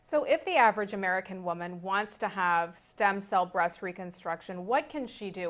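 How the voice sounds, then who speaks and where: A woman interviewer reads a scripted news-style introduction and then asks her questions. Her delivery is measured and read aloud, at a middle pitch. The audio is muffled and slightly lo-fi. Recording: dull lo-fi audio.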